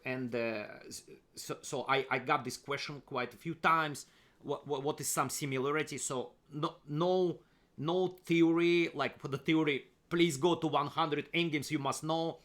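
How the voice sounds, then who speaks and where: A man with a Russian accent, a chess grandmaster walking viewers through his courses in a casual, halting way full of fillers and restarts. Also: a little high, a bit bright. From Soviet Union (Kazakhstan). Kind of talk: casual screen-share walkthrough.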